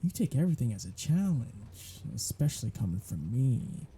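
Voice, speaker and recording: A man's voice: mid-range voice, big pitch swings, moderate pace, mellow, a little hiss or hum, close to an everyday voice. A man voicing a sardonic, flirtatious male vampire held captive on a road trip, in an ASMR audio drama. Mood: teasing. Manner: teasing, sardonic, flirtatious banter.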